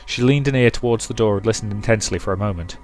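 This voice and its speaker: Low-voiced man reading a story aloud. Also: rapid-fire, faint music behind. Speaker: let's player reading a visual novel aloud. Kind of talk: dramatic storybook-style narration with commentary.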